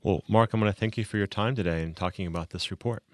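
Male interviewer and podcast host, deep voice, dry recording. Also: fast, dry recording.

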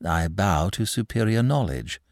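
A man voicing a suave, impudent young gentleman clerk for an audiobook, in flowery, ingratiating, over-polite speech. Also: low voice, expressive, unhurried, no room sound, studio quality. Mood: smooth, self-assured. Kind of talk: audiobook character dialogue.

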